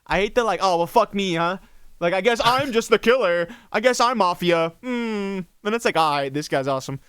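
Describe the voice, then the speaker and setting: A high-pitched man talks his way through a reaction video, rambling and joking with plenty of swearing. His voice is smooth and clean. The mic is close and dry, with no room sound. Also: animated, brisk, fairly bright tone, no echo. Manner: rambling, jokey, swears freely. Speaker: reaction streamer. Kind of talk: casual reaction commentary.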